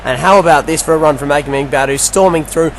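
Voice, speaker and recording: Croaky-voiced man commentating a game. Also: fairly high pitch, fast, a little hiss or hum, rough recording. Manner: casual play-by-play recap. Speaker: gaming commentator. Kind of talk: video game match commentary.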